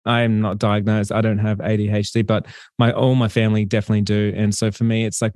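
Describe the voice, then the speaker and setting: A man's voice: on the low side, darkish tone, no echo, studio quality. A man hosting a podcast, upbeat and laughing often. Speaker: podcast host. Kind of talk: casual chat.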